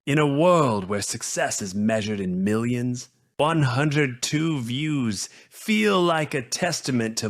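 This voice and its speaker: A man narrating a scripted video essay as its host, in an earnest, dramatic manner with short, measured rhetorical phrases. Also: medium pitch, no echo, clean studio sound.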